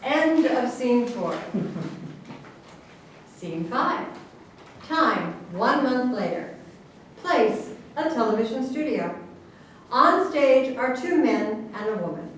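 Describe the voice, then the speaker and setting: A woman's voice: fairly high pitch, expressive, slow pace, pauses often, fairly bright tone. A woman reading scripted stage directions at a staged play reading. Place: live staged reading in an echoey room. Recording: echoey room, distant mic, faint noise.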